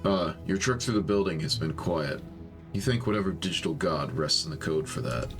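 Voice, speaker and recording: Man, very deep voice, storytime game host, narration and casual asides, faint background music. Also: animated, moderate pace. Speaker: host reading a visual novel aloud.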